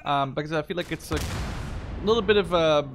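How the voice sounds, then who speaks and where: Male, 20s, slightly high voice; casual gamer host who rambles and self-corrects, with gunfire and clicks behind him. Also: fairly quick, slight background noise. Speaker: gameplay video host. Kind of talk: casual live gameplay commentary. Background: video game gunshots, machine guns, clicks.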